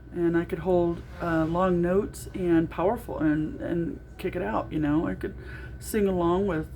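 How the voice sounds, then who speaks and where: A middle-aged woman with a naturally loud voice, talking through a casual video diary with lots of ums and restarts. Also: fairly low pitch, a little hiss or hum. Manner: rambling, with frequent ums and restarts. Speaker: vlogger.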